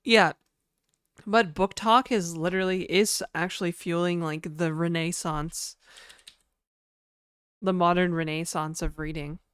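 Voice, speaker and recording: A Canadian woman streaming live, chatting casually and jokingly with her viewers in a somewhat hoarse voice. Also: steady tone, a little slow, pauses often, a bit bright, dry recording, clean studio sound. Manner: rambling, joking, slips into mock French and a put-on high e-girl voice.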